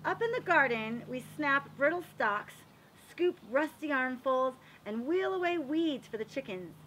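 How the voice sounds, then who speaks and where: A woman reads a picture book aloud for young children. Her voice is high and bright, with lots of melodic swing and an expressive, explanatory manner. There is faint noise in the background. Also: unhurried, poor audio. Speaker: read-aloud reader for children.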